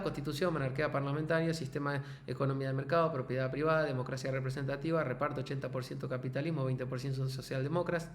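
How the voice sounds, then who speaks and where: A man's voice: on the high side, echoey room. Synthetic male assistant voice, listing points. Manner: even, declarative list delivery. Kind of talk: listed, structured answer.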